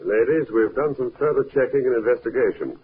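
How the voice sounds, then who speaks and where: An actor playing a calm, shrewd police inspector in a 1950s radio drama, measured, probing and understated. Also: male voice, medium pitch, close to an everyday voice. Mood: shrewd, firm. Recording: narrow-band, hissy 1950s radio recording.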